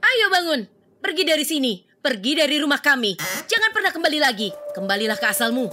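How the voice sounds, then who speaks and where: A woman's voice: sits high, very animated, quick pace, noisy background. A woman voicing an angry villager in a dubbed cartoon, scolding and ordering a thief to leave. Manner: scolding, commanding. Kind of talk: cartoon dub acting. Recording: loud music underneath.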